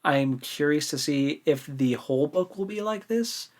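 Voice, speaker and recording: A man's voice: a little high, lively intonation, a little slow, darkish tone. A man speaking casually and informally as a podcast co-host in a book discussion, often rephrasing himself as he goes.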